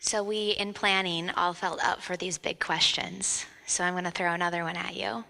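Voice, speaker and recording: A woman chairing a conference panel, brisk and casual as she puts questions to the panelists. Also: fairly low pitch, flat delivery, vocal fry, crowd noise. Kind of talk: moderating a panel. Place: large conference hall. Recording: hall reverb.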